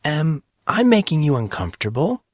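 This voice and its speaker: A man's voice: on the high side, very animated, normal speed, no room sound, voice pushed up. A man voicing a cocky young male hero of a fantasy story, with dry, teasing, smug sarcasm. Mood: determined, teasing.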